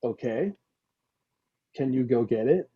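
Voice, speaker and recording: Man acting out a husky, slow-talking dim slacker dude. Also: medium pitch, animated. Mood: pleading. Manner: goofy, melodramatic. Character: dim, dramatic slacker young man, soon a dad. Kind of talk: comedy script table read. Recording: slightly dull online-call audio.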